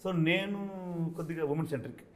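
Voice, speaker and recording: A man's voice: on the high side, lively intonation, quick pace. A man interviewed as a film director, explaining his views earnestly and philosophically with some English mixed in.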